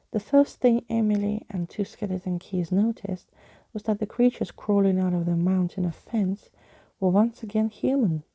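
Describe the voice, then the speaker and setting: A woman reading a storybook as soft ASMR narration, hushed, breathy and often whispering, close to the microphone. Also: lowish voice, dry recording. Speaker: ASMR reader.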